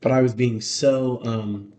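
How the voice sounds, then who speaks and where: Raspy chatty man in an echoey room. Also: medium pitch, steady tone, measured pace, mellow. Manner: rambling, chatty, many fillers. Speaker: musician guest. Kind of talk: casual podcast chat. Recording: roomy echo.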